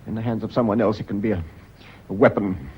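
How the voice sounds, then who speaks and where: An actor playing an earnest British schoolmaster and lover, persuasive and reassuring with a nervy edge, in a radio drama. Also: male voice, fairly low pitch, fast, a little mellow, lightly acted. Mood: bitter, reassuring. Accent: British English. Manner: persuasive, reassuring, by turns tender and exasperated. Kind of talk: British stage-style radio drama acting. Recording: dull, hissy old radio recording.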